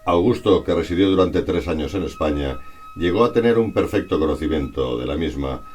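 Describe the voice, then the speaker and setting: A man from reads a scripted historical narration in a formal, measured, bookish manner. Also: extremely low pitch, darkish tone, soft background music.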